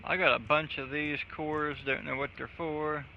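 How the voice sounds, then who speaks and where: A man's voice: on the high side, steady tone, normal speed, creaky, highs cut off, slight background noise. A man streaming a game live, chatting casually with crude, profane jokes. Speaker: gaming streamer.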